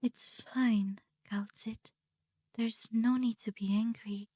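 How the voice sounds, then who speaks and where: A woman playing a gentle, calming queenly lady in an amateur game fan dub, with a soft, breathy voice. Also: fairly high pitch, takes it slowly, no echo, studio quality. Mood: soothing.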